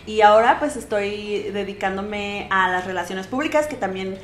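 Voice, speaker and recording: A woman's voice: medium pitch, normal speed. A young woman from Mexico, co-hosting a podcast in casual conversation, talking freely and correcting herself as she goes. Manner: chatty, self-correcting, thinks aloud. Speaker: podcast co-host. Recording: echoey room.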